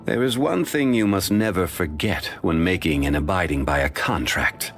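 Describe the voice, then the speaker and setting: An actor voicing a dignified, ancient, learned man in a video game, speaking in a measured, formal and courteous way. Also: deep voice, fairly bright tone, faint music behind. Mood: calm, formal.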